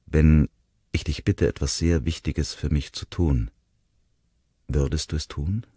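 Ein Mann liest einen jungen Mann, hin- und hergerissen, leise und zögernd. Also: male voice, rumbling low, unhurried, dark tone, husky, no room sound, clean studio sound, full character voice. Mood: pleading. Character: young man, torn and defensive.

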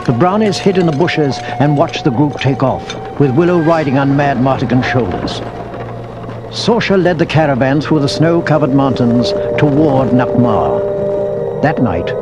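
A man narrates an adventure storybook in a scripted read-along style. His voice is a middling male pitch, set over loud orchestral music and effects. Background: film score music and sound effects.

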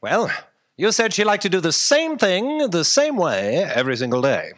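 A man's voice: sits high, big pitch swings, normal speed, fairly bright tone. A man playing a brash, intrepid space detective hero in a staged comedy audio drama, speaking theatrically and emphatically. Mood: urgent, determined. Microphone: stand microphone. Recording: live theatre stage with long hall reverb.

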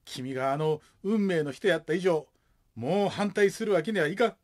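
A man plays a middle-aged Kansai father in a radio drama. His voice is high and very animated. He is stubborn and blustery, with a soft heart underneath. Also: normal speed, natural voice. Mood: moved, earnest.

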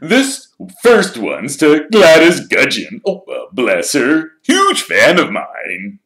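A man voicing a vain, showy grown wizard who talks in a loud, theatrical, self-admiring way. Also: fairly high pitch, huge pitch swings, takes it slowly, voice pushed up, exaggerated voice. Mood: smug.

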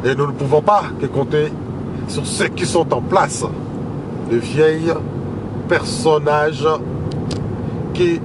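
A man with a Congolese accent giving a political monologue in a halting, deliberate way, pausing between short phrases. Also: on the high side. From Democratic Republic of the Congo. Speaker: political speaker. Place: inside a moving car on a motorway, while driving. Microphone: camera on passenger side. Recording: loud car and road noise.

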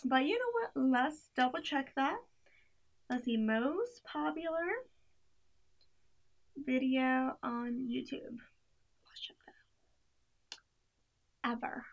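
A young woman talks through a playlist in a relaxed, chatty way, stacking up fillers and small giggly asides. Her voice sits high and carries plenty of gravelly fry. She is recorded on a camera mic in a small indoor room. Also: slow pace, pauses often. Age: young adult. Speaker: playlist reviewer. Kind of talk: casual chat.

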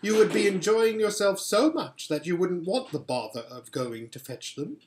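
Man playing coaxing witch queen with animated pitch. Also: normal speed, a little hiss or hum. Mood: persuasive, firm. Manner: sweet-talking, imperious. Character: coaxing, scheming regal witch queen, grown woman.

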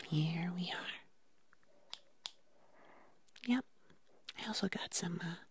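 Slow, whispering ASMR woman. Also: on the low side. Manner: gentle, slow, pampering, full of pauses. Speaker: ASMR performer. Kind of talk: whispered ASMR personal attention roleplay.

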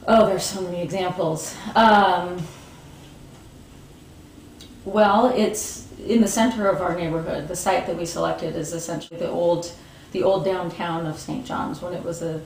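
A woman, answering interview questions thoughtfully with pauses and restarts, seated in a room with bookshelves. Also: on the low side, steady tone, darkish tone, reverberant, distant mic, a little hiss or hum, poor audio. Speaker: market organizer.

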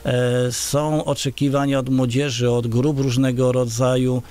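A man's voice: medium pitch, flat delivery, unhurried, a little mellow. A middle-aged man from Poland, a local village head, answering interview questions in a radio studio with many filler sounds and restarts. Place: small radio studio, indoors. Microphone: large studio microphone on a boom arm.

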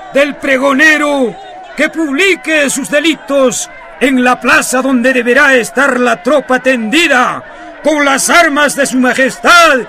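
Stern colonial official, radio drama: very high, bright, declamatory, slow, crowd noise behind. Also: male voice, full character voice. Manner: declamatory, shouted, solemn. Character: stern Spanish colonial official proclaiming a death sentence. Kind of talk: radio drama acting, proclamation.

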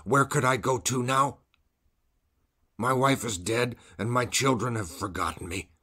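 A man's voice: mid-range voice, measured pace, bright, forceful tone, slightly rough, voice pushed up. A man voicing a weary old male prisoner who speaks in a guarded, bitter way. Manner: guarded, bitter, rises when stirred.

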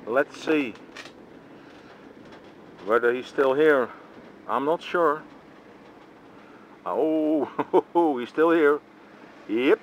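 A man's voice: fairly high pitch, lo-fi sound. A man with a Dutch accent gives a casual, musing commentary to himself while driving through town, filmed from the dashboard. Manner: slow, musing, talks to himself in short remarks. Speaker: vlogger filming from a car. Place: inside a car in city traffic. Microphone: camera mounted on dashboard. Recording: noisy car interior with engine.

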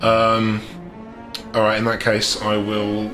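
A man's voice: on the low side, monotone, slow, a bit bright. A man chatting casually as a player in a tabletop role-playing game. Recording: echoey room, music behind.

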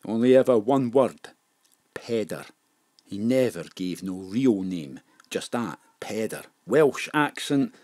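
This is a small-time crook in an audio drama, chatty and cheeky. He talks in an offhand, rascally way with lively swings in pitch, recorded close and dry. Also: slow pace, no echo.

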